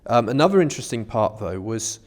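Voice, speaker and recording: A man's voice: mid-range voice, big pitch swings, brisk. A man in a casual, informal discussion, thinking ideas through reflectively as a podcast co-host. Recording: long reverberant room sound.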